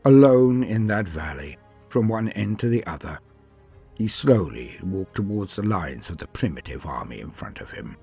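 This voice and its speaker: A man narrating scripted stories in a dramatic, solemn and measured way, with emphatic swells and falling sentence endings. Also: on the low side, big pitch swings, vocal fry, mellow, husky. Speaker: narrator and host of a fan fiction lore channel. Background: music.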